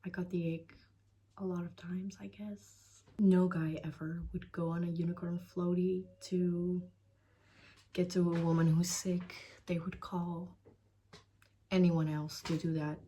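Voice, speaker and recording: A woman's voice: lowish voice, level tone, measured pace, warm tone. A young Dutch woman giving a casual, blunt book review in English with a Dutch accent. She swears freely and often drops into a whisper. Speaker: book vlogger.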